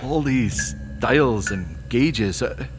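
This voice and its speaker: A man's voice: medium pitch, expressive, extremely slow, faint music behind. A man playing a plain-spoken, loyal household employee in a sci-fi audio drama, informal and down-to-earth in manner. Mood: puzzled, friendly.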